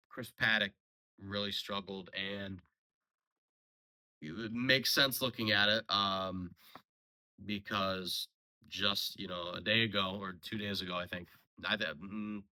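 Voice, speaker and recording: A young man giving a casual, rambling solo sports recap, a little hoarse from a sore throat and full of fillers and restarts. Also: fairly low pitch, sharp tone, low-quality recording. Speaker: sports podcast host.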